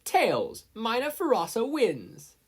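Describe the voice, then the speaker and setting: A commanding woman referee, as acted out by a narrator, pitched well up and projected loudly. She calls out matches with authority, as in an audiobook. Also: wildly swooping pitch, takes it slowly, husky, heavily acted. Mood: announcing. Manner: loud, projected announcing.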